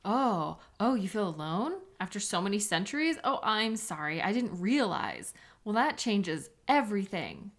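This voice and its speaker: A woman's voice: medium pitch, sing-song, moderate pace. A woman playing an embittered, contemptuous police sketch artist with a sarcastic, scornful manner in a dramatic audio roleplay. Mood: mocking.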